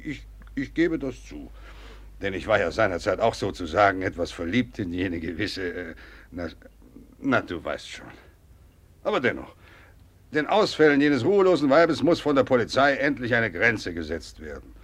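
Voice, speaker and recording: An elderly general, a worried father in a radio play. His low voice rises and falls animatedly as he rambles and blusters. He hesitates, then presses on in confidence. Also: sing-song, moderate pace, husky. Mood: flustered, indignant. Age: older. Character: agitated, talkative old Russian general and worried father. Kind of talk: theatrical German radio play acting.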